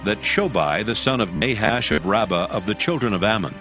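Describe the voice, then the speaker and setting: A man with a somewhat deep voice narrates scripture. His scripted storytelling is measured and solemn. Soft music sits faintly behind the voice. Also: lively intonation.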